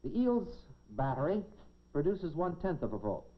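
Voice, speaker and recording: A man presenting a science demonstration in a 1950s educational film, in a measured, folksy showman's way with dry humor. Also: a little high, big pitch swings. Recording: dull, noisy 1950s educational film soundtrack.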